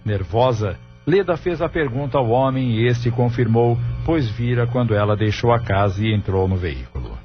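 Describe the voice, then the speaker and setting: A man's voice: medium pitch, expressive, normal speed, few pauses. A man reading scripted narration for an audio drama as its narrator. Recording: muffled studio narration over music.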